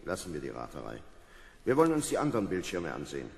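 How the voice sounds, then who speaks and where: A stern spaceship officer in a vintage audio drama. His lowish voice moves widely in pitch, authoritative and brusque, in a reverberant recording. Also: huge pitch swings, fast talker, gravelly, exaggerated voice. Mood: puzzled, reasoning. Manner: authoritative, brusque stage diction. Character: stern, commanding male spaceship officer Steve. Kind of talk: 1970s German children's audio drama acting.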